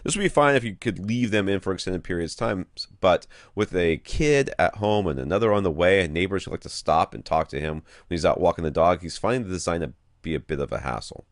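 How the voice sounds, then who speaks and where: A man's voice: low-pitched, animated, fast talker, few pauses, no room sound, clean studio sound. A man hosting a casual podcast, reading out questions and thinking aloud with wry, blunt humor. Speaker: podcast host.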